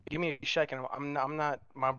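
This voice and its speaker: A man talking haltingly and casually over online roleplay voice chat, repeating his words. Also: on the high side, flat delivery, sharp tone, clear, resonant tone, no echo, highs cut off. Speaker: fellow roleplayer.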